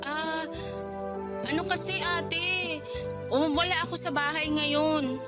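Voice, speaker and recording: A woman's voice: high-pitched, steady tone, slow pace. A woman playing a hesitant, evasive young student, the younger sister in a radio drama, with a Filipino accent. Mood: nervous, defensive. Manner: hesitant, pleading, apologetic. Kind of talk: Tagalog radio drama acting. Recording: faint music behind the voice.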